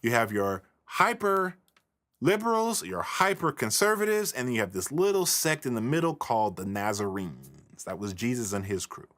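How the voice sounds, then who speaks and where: A man's voice: medium pitch, very animated, normal speed, fairly bright tone. A man teaching religious history in an informal, colloquial and emphatic lecture style.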